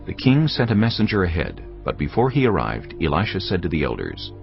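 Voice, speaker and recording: A man giving solemn, measured scripted narration for a dramatised audio Bible. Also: deep voice, wildly swooping pitch, creaky. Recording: dull, muffled audio over music.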